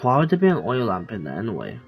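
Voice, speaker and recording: A man giving casual, halting game commentary with a dry, joking manner as he plays through a story quest. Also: on the low side, huge pitch swings, dull audio, faint music behind. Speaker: streamer playing a story quest.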